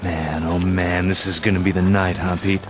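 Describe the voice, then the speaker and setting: A man acting in a radio drama as a gruff, slangy, excitable follower of a fanatic. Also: deep voice, moderate pace, music in the background, natural voice. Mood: gruff, eager.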